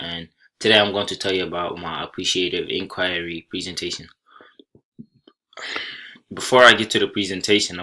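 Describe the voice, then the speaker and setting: A young man from Senegal whose first language is Soninke, giving a college class presentation over slides in a plain, unhurried way with small restarts. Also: sits low, sharp tone. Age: young adult. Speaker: college student.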